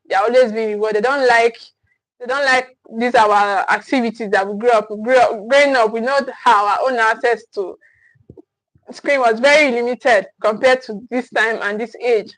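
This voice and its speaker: A woman's voice: on the high side, steady tone, normal speed, fairly bright tone, no room sound, poor audio. Earnest Nigerian woman teaching parents. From Nigeria, Edo State. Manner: earnest and emphatic, repeats points, asks "okay?". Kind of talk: live-streamed parenting class.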